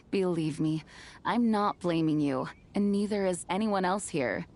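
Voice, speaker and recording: A woman's voice: lowish voice, a little slow, a little mellow. A woman playing a composed, reassuring young female leader in a video game.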